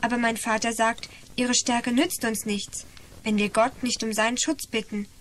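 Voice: mid-range voice, flat delivery, normal speed, a bit bright, close to an everyday voice. A performer playing an inquisitive, sceptical schoolgirl who talks insistently in a children's radio play. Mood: earnest. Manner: questioning, insistent. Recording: noisy radio play with birdsong.

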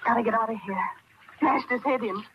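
A woman's voice: a little high, brisk, natural voice. An actress playing a panicked, confused woman murderer, muttering in breathless fragments in the style of old radio horror. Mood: panicked, dazed. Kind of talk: 1940s radio horror acting. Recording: muffled, very noisy old radio recording.

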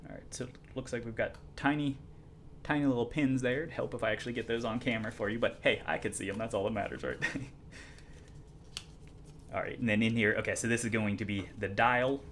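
A man's voice: medium pitch, brisk, a bit bright, slight background noise. A man reviewing watches, talking casually through an unboxing at home, chatty and self-deprecating with many ums and restarts. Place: home room, indoors.

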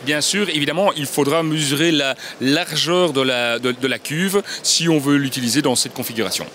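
Man, 30s, brand rep, slightly high voice, patient explainer, fair hall with faint noise. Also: moderate pace, fairly bright tone. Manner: agreeable, explanatory, many fillers and affirmations. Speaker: brand representative. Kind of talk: product explanation. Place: large indoor trade fair hall.

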